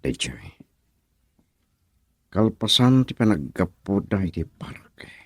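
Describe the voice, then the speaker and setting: Jealous radio-drama rival: very low, gravelly, slow brooding muttering that slips into whispers. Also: male voice, very animated, dry recording, studio quality, heavily acted.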